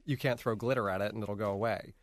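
A man's voice: on the low side, steady tone, fast talker, dry recording. A man hosting a casual podcast, chatty and laughing often, with plenty of fillers. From North Jersey. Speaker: podcast host. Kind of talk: casual chat.

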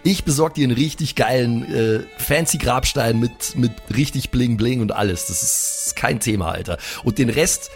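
A man's voice: medium pitch, normal speed, hardly any pauses. A young man improvising in a role-play, chatting casually in slang sprinkled with English words. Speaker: player in a pen and paper podcast. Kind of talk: improvised in-character casual chat. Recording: faint music bed behind the voice. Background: faint background music.

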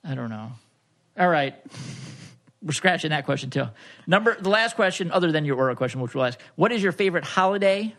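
A man co-hosting a podcast, playfully quizzing a guest in a joking, halting way. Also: fairly high pitch, expressive, a bit bright. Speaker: podcast co-host. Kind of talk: casual chat, playful quizzing.

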